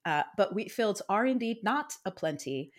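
A woman's voice: medium pitch, lively intonation, normal speed. A woman co-hosting a casual podcast, chatty and self-deprecating, laughing often. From Iowa. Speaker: podcast co-host. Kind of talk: casual true-crime podcast chat.

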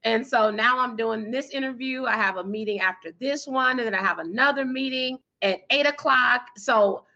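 A woman in her thirties or forties, guest in an interview, talking energetically and emphatically with a loud, powerful voice and frequent laughter. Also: a little high, moderate pace, few pauses, highs cut off. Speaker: guest, PR firm founder and speaker.

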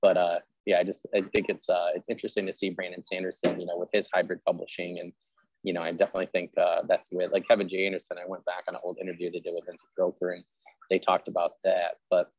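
A man's voice: low voice, completely flat delivery, fast, darkish tone, no room sound, muffled recording. A man hosting a podcast interview in an informal, rambling, self-deprecating chat style. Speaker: podcast host interviewing an author.

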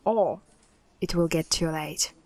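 A woman playing a brisk, secretive private investigator in audio drama dialogue. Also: low voice, darkish tone. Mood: purposeful.

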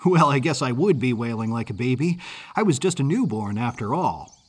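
A man's voice: mid-range voice, animated, moderate pace, breathy edge. A man voicing an exuberant orphan circus boy in an audiobook story, talking in an eager, folksy way with a mid-Atlantic American accent. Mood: cheerful, proud.

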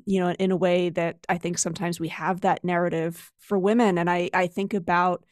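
A woman's voice: fairly low pitch, no room sound, clean studio sound. A middle-aged woman hosting a podcast, talking conversationally and thoughtfully with plenty of filler words. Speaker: podcast host. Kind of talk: podcast interview.

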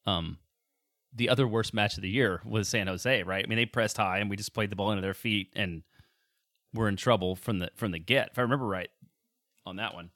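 A man's voice: on the low side, fairly quick, fairly bright tone, no room sound, studio quality. A man co-hosting a casual sports podcast, easygoing and conversational, asking his co-host questions. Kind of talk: casual sports podcast chat, reads ads.

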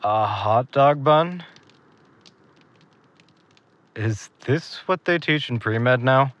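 A man's voice: mid-range voice, animated, slow pace, fairly bright tone, no room sound, dull audio. A man playing a guarded, stubborn young man in an audio drama, with an understated, hesitant delivery. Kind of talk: naturalistic audio drama acting.

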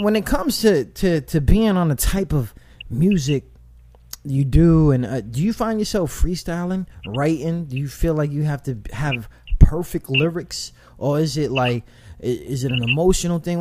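A man with a high voice hosting a casual radio chat, animated and profane, giving shout-outs and often repeating himself. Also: normal speed, no echo. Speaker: internet radio host.

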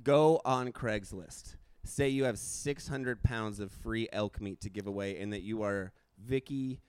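A young man co-hosting a comedy podcast, his voice slightly raspy, rambling and joking in casual chat. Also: on the low side, a little slow, darkish tone, no echo. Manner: joking, rambling, laughs often. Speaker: podcast co-host and stand-up comedian.

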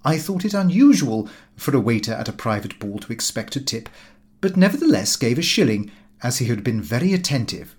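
A man narrating a comic diary novel as an audiobook, in a formal, measured and precise storytelling manner. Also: medium pitch, very animated, a little mellow.

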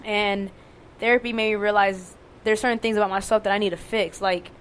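A young woman with a fairly monotone voice, talking candidly and casually, swearing and using lots of fillers. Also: medium pitch, fairly quick, a little hiss or hum. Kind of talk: casual conversation.

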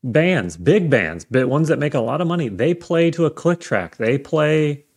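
A man's voice: on the high side, big pitch swings, moderate pace, creaky, a little mellow, slightly rough. A male podcast co-host in casual chat, giving short reactions and then laying out an idea with some animation.

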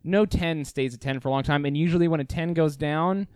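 A man's voice: fairly high pitch, fairly quick, a little mellow, smooth, no room sound. Wry, swearing male podcast co-host. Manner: wry, profane, dry asides. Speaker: podcast co-host reading a listener story. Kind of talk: casual chat and reading aloud.

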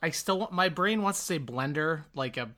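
A woman's voice: sits low, animated, moderate pace, a bit bright. A woman with a mild Illinois accent co-hosting a podcast, chatting casually, self-deprecating and swearing freely. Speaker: podcast co-host. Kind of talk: casual chat.

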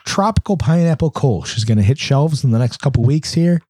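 A man's voice: mid-range voice, expressive, a little fast, warm tone, slightly rough, no room sound, studio quality. A man co-hosting a podcast in casual chat, slightly congested, rambling with lots of fillers. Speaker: podcast co-host.

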